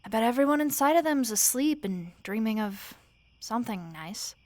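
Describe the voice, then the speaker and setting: A woman playing a tired, wry, sleepless roommate in a soft-spoken audio roleplay, talking casually and haltingly with fond, dry teasing. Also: on the high side, lively intonation, natural voice. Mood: weary, subdued. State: sleepy, weary.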